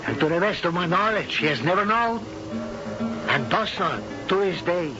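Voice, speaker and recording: An actor playing a proud, grieving middle-aged Spanish aristocrat, grave and formal in a 1970s radio drama. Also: male voice, high-pitched, lively intonation, moderate pace. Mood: sorrowful, dignified. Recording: muffled 1970s radio broadcast with music.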